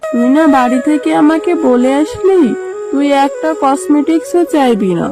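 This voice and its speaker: Woman playing a high-voiced fussy lady fox. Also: lively intonation, normal speed, over music. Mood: scolding. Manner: scolding, chatty. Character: adult female fox villager, fussy and scolding. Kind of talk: cartoon character acting.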